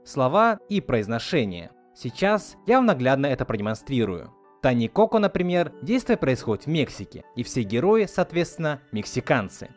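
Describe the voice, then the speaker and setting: A man hosting a video series, reading a scripted video essay. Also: fairly high pitch. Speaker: host of a video series on film dubbing.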